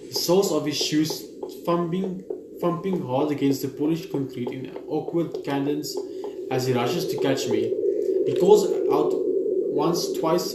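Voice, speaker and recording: A man's voice: a little high, heavy background noise. A man reading a visual novel's text aloud in a let's play, stumbling and rereading now and then. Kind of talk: let's play read-aloud narration with asides. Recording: roomy echo with game music behind. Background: video game music.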